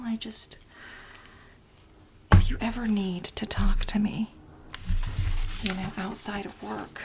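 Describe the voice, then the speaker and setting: A woman in a soft-spoken ASMR role play as a fawning female lab assistant, mostly whispering in a breathy, halting, coaxing way. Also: medium pitch, a little hiss or hum. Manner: halting, coaxing delivery with repeated phrases.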